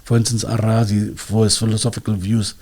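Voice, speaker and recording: A man's voice: fairly low pitch, little pitch movement, brisk, a little mellow. A man speaking as an expert interview guest, explaining in a hesitant, scholarly way and searching for his words.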